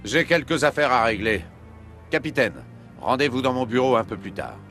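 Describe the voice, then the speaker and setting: An actor playing an indignant human ambassador in a French video game, forceful and official. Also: male voice, mid-range voice, expressive, moderate pace, faint music behind, natural voice. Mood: stern.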